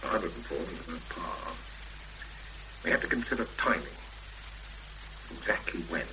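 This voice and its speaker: An actor playing a brisk, impatient man of action plotting a rescue in a 1970s radio drama, speaking emphatically and decisively. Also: low voice, animated, creaky. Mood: brisk, confident. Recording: noisy 1970s radio broadcast recording.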